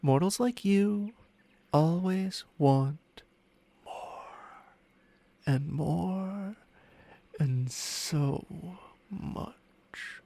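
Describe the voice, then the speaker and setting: Man voicing a slow, smug ancient dragon. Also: high voice, creaky, dark tone, heavily acted. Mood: knowing, provocative. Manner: slow, teasing, haughty, formal diction. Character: smug, seductive ancient male dragon in humanlike form. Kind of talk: ASMR roleplay monologue. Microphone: close microphone, dry sound.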